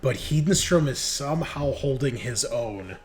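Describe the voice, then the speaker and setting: A man's voice: mid-range voice, lively intonation, measured pace, a little mellow, faint music behind. A man acting as game master, narrating a tabletop roleplaying game in a casual, descriptive way. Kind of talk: improvised game narration and rules calls.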